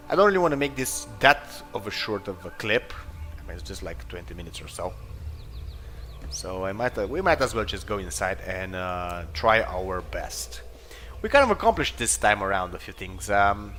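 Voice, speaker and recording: A man's voice: medium pitch, fairly bright tone. A man giving casual, rambling game commentary, hesitant and hedging as he talks. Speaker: gameplay video host. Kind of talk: casual game commentary, reading dialogue aloud. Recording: game music behind the voice. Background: game music.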